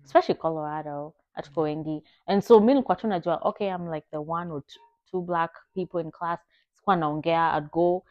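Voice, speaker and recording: A woman's voice: sits low, quick pace, dry recording, muffled recording. A young woman in a casual chat, rambling and restarting her sentences. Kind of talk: casual chat switching between Swahili and English.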